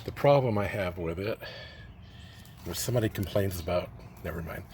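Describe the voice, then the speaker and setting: Soft-spoken man, noisy recording. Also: normal speed. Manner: low-key, hesitant, trails off mid-sentence. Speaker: hobbyist restoring an old radio phonograph. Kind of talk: casual show-and-tell demo. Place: near the radio, away from the house.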